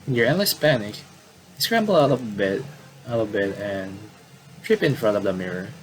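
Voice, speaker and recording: A man doing casual game commentary and reading story text aloud, haltingly and with repeated words. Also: on the low side, lively intonation. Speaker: gaming video commentator. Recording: clearly noisy home recording.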